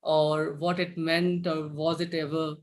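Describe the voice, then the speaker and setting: A man's voice: on the high side, little pitch movement, measured pace. A man hosting a live-streamed interview, speaking politely and hesitantly, often restarting his sentences. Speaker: interviewer host.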